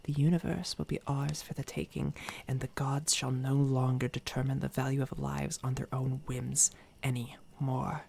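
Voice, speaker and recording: A woman voicing a sinister, megalomaniac evil doppelganger in a soft-spoken ASMR roleplay, breathy and often whispering, with deliberate, grandiose, condescending delivery. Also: extremely low pitch, flat delivery, exaggerated voice. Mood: fervent, coaxing. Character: sinister female evil doppelganger, coldly megalomaniac would-be ruler. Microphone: close binaural microphone.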